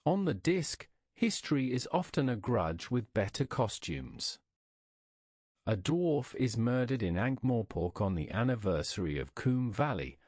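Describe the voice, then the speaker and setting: British male narrator, unhurried pace, expressive rise and fall, bedtime story read-aloud, deliberate delivery. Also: medium pitch, dry recording, studio quality.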